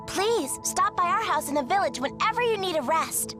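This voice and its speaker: An actress playing a gentle, caring young spirit with a polite manner in a video game drama. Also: female voice, very high voice, animated, moderate pace, music in the background. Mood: gentle concern.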